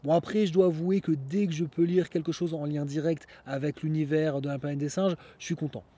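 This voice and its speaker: A man's voice: fairly high pitch, steady tone, a little fast, warm tone, husky. A man hosting a solo podcast outdoors, talking casually and rambling with many fillers. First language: French. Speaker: podcast host.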